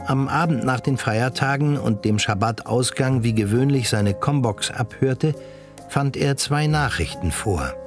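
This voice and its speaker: A man's voice: medium pitch, a little slow. A man reading scripted narration as the narrator of a radio drama. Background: music.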